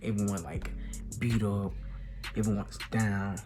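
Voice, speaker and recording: Young American male reviewer, unhurried and slangy, emphatic storyteller, music underneath. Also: lowish voice. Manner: slangy, animated retelling with emphatic exclamations. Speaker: movie reviewer. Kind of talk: casual excited movie recap.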